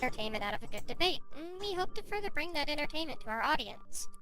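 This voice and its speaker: A woman's voice: high-pitched, very animated, fast talker, pushed and bright. A woman giving casual video essay narration as an online commentary creator.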